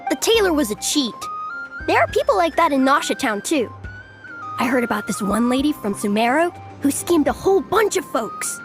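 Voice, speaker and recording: An actress playing an outspoken, curious young child in a game. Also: female voice, sits high, big pitch swings, normal speed, over music. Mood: knowing. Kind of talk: English game dub.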